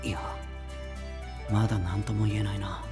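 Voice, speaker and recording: A man voicing a relaxed, thoughtful young male knight in an anime voice drama, with an easygoing manner. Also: lowish voice, moderate pace, close to an everyday voice. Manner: laid-back and easygoing. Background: music.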